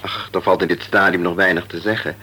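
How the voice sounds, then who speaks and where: An actor playing a calm, kindly family doctor in a Dutch radio play, with a reassuring, businesslike bedside manner. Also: male voice, deep voice, brisk, slightly rough, full character voice. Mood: serious, concerned. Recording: noisy old radio play recording.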